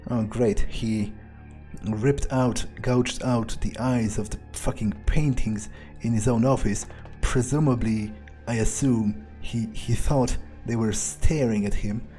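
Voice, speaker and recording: Guy doing in-character game playthrough, mellow tone, dry sarcastic muttering, sweary, bit of music behind him. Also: mid-range voice, fairly even intonation, slow. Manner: sardonic, muttering, heavy swearing. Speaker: gameplay streamer roleplaying in character.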